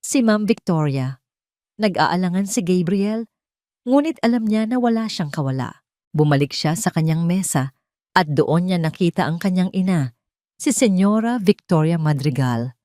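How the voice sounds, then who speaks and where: A woman's voice: lowish voice, moderate pace, dark tone, no room sound, studio quality. A woman narrating a scripted romantic audio drama as the storyteller.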